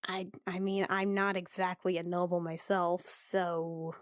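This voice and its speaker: A woman's voice: lowish voice, level tone, measured pace, dry recording, studio quality, lightly acted. A woman playing a self-doubting, plain-spoken young princess and healer in a tabletop game, casual, hesitant and wry.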